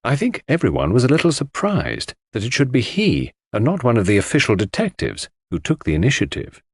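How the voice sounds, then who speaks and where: A man's voice: mid-range voice, huge pitch swings, brisk, breathy edge, dry recording. A man reading scripted first-person mystery narration for an audiobook.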